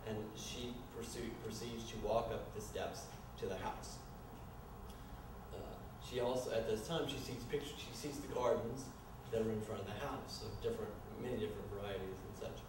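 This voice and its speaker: A man narrating a staged screenplay reading in a loose, improvised, halting way, often stumbling over his words. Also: lowish voice, fairly even intonation. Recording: echoey room, distant mic, noisy.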